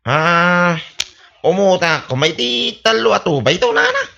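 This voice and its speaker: An actor with an Ilocano accent playing a boastful, wheedling drunk in a radio comedy, slurring and rambling. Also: male voice, high-pitched, huge pitch swings, unhurried, vocal fry, sharp tone, dull audio, exaggerated voice. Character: boastful, wheedling drunken village man buying on credit.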